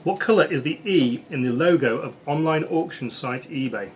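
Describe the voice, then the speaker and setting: Bloke reading out quiz questions in a club, bit higher pitched, some room noise behind. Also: male voice, animated, moderate pace. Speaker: quiz master. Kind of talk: live pub-style quiz, reading questions aloud. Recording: noisy club room recording.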